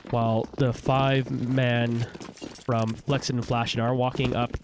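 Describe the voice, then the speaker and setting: A man's voice: medium pitch, level tone, unhurried, noisy background. A young man casting a game on a livestream with a cold, chatty and rambling, with many fillers and restarts. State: has a cold, stuffy. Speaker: esports shoutcaster. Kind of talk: casual play-by-play commentary on a livestream.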